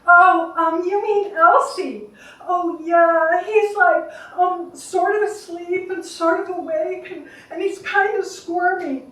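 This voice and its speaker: An anxious female caregiver, acted out by a woman storyteller, hesitant and eager for reassurance. Her voice is high and bright, tumbling through fillers and small gushes. It rings in a very echoey space, some way from the mic. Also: steady tone, takes it slowly, exaggerated voice. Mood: hesitant, unsure. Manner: hesitant, gushing, filler-laden. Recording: echoey room, distant mic.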